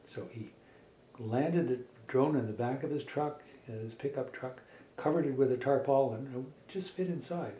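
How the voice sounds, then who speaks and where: A middle-aged man telling a made-up children's story in a halting, folksy way, repeating words as he goes. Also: medium pitch, warm tone. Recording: echoey room with faint background noise.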